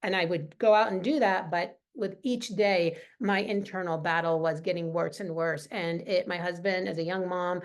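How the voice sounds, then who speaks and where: A woman's voice: on the low side, steady tone, moderate pace, hardly any pauses. A middle-aged woman speaking as a wellness coach in an informal live training, earnest, conversational and personal. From Lebanese.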